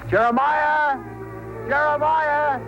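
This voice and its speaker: An actor playing an excitable, frantic man in the wilderness, in an exclamatory old-time radio adventure style. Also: very high voice, slow pace, dull audio, heavily acted. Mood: calling out. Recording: old radio recording with music behind.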